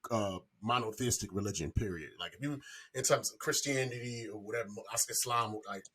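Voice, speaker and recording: A man's voice: fairly low pitch, fairly quick, pushed and bright, breathy edge. A man in his forties chatting casually on a podcast, speaking candidly and loosely in slangy, rambling phrases. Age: 40s.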